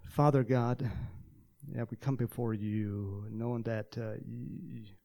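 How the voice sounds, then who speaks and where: A man's voice: mid-range voice, steady tone, unhurried, dark tone. A man in his sixties from Texas with a slight Texan accent, preaching in a casual, rambling storytelling way with many fillers. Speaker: pastor.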